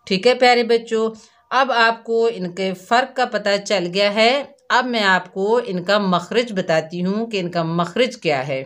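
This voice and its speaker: A woman with a Pakistani Urdu accent teaches children in an unhurried classroom manner. She repeats herself patiently and draws out the sounds she demonstrates, at a steady middle pitch. Her delivery stays slow and deliberate throughout. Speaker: Quran recitation teacher.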